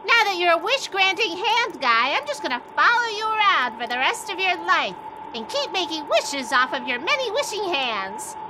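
A woman's voice: very high voice, sing-song, moderate pace, background noise. A woman playing a sly, menacing old witch in a comedy horror radio play, theatrical and drawn out. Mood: gloating, scornful.

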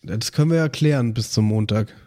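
A man's voice: fairly low pitch, brisk, warm tone. A man co-hosting a podcast in casual banter. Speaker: podcast co-host.

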